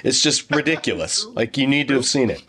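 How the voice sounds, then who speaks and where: A man's voice: mid-range voice, animated, a little fast, a bit bright. A man hosting an interview, friendly and enthusiastic, joking easily with his guest. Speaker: YouTube channel host. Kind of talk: casual interview conversation.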